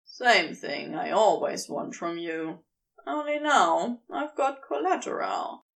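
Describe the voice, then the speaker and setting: A woman's voice: lowish voice, expressive, gravelly, fairly bright tone, recorded from a distance, voice pushed down, exaggerated voice. A woman voicing a giant male sea serpent in a storybook reading, sly, mocking and taunting without hurry. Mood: smug, insistent. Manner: taunting, unhurried.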